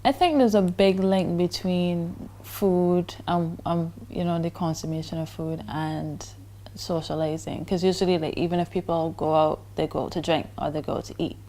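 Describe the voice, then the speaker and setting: A woman's voice: on the low side, steady tone, moderate pace, hardly any pauses, dark tone, a little hiss or hum. A young woman answering interview questions in a casual, rambling way.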